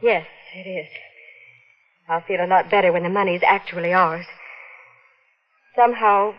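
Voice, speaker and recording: A woman's voice: lowish voice, steady tone, moderate pace, close to an everyday voice. An actress playing a nervous, guilt-ridden wife who is her husband's accomplice, on an old radio drama. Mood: anxious. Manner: tense, fretful delivery. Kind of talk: 1950s radio drama acting. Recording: dull vintage radio broadcast, faint hiss.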